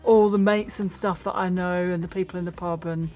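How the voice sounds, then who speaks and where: Low-voiced woman playing a tabletop game. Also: fairly even intonation, fairly quick, a little mellow. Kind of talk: casual chat. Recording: muffled lo-fi audio with music behind. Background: background music.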